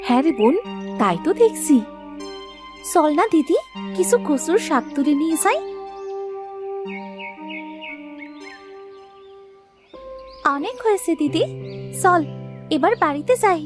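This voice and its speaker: A woman voicing an eager, caring young village daughter in a cartoon story, with earnest, expressive delivery. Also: very high-pitched, moderate pace, long pauses, music in the background. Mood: cheerful. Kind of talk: Bengali animated moral story dubbing.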